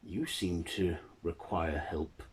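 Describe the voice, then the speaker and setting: A man voicing a gentle, lonely old blind grandfather with a kindly, earnest way of talking. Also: deep voice, slow, husky, full character voice.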